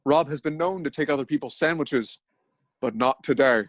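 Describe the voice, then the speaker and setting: A man narrating a scripted dramatic reading, telling absurd events in an earnest, deadpan way. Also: medium pitch, moderate pace, no echo.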